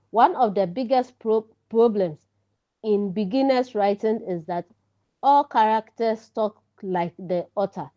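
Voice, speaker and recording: A woman with a Ghanaian accent giving an instructional lecture as a teacher, speaking deliberately in short, emphatic phrases. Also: mid-range voice, mellow. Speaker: writing teacher presenting a lesson. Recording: dull, lo-fi sound.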